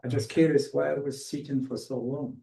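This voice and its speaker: A man's voice: medium pitch, fairly even intonation, a little fast, vocal fry, dark tone. A man speaking up with questions at a public meeting. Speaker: meeting participant. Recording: echoey meeting room.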